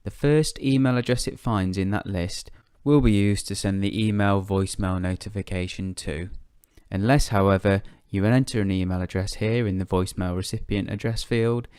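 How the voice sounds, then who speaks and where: A man presenting a software tutorial step by step in a measured, methodical way. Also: fairly low pitch, steady tone, clean tone, dry recording, studio quality.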